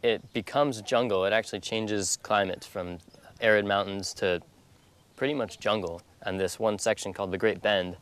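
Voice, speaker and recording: Low, clear young American man. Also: fairly even intonation, moderate pace, fairly bright tone. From North Carolina, USA. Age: 20s. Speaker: expedition paddler being interviewed. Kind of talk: casual interview explanation.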